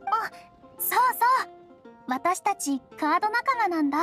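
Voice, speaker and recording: A woman's voice: extremely high pitch, animated, normal speed, bright, forceful tone, faint music behind, exaggerated voice. A woman voicing a sweet, polite, bookish young female alchemist in a Japanese anime game. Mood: cheerful.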